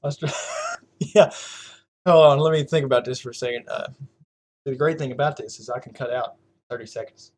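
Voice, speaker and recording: A man's voice: mid-range voice, steady tone, moderate pace, a little mellow. Young southern guy rambling on a podcast, lots of um and like, laughing. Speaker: podcast host. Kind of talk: casual chat.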